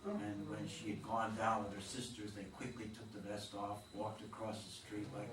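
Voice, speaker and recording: A man's voice: on the low side, level tone, moderate pace. Playwright guy explaining his play, echoey hall, noisy distant recording. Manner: explanatory, patiently walking through the plot. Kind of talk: post-reading discussion. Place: theatre room.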